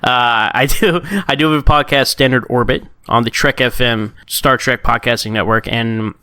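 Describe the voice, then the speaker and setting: A man's voice: medium pitch, fairly even intonation, moderate pace, bright, forceful tone, clear, resonant tone, no echo. A man in his early thirties chatting casually and enthusiastically as a podcast guest. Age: early 30s. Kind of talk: casual fan chat.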